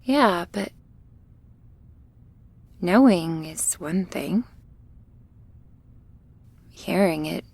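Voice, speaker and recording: A woman playing a shy, flustered, affectionate young vampire in an intimate ASMR roleplay, speaking softly and haltingly, often near a whisper. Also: lowish voice, full character voice. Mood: teasing, bashful. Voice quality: soft, breathy, often whispery. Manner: bashful, halting, nervously giggly.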